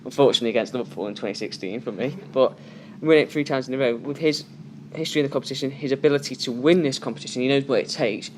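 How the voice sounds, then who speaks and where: A man of middle pitch co-hosting a sports chat rattles off emphatic opinions at a fast clip. Faint noise hums behind him. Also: a little mellow. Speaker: co-host.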